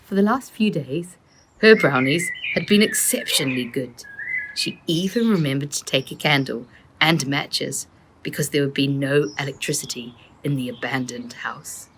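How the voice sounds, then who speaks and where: A woman author reading her children's storybook aloud as an expressive narrator. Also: very deep voice, normal speed. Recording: faint noise and clicks.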